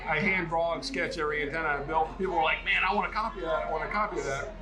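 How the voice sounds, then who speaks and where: A man's voice: fairly high pitch, rapid-fire, crowd noise. A man from North Carolina chatting informally as an interview guest, enthusiastic and a bit rambling. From North Carolina, United States. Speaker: guest, radio hobbyist. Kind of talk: casual on-camera interview chat. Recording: roomy echo with music behind.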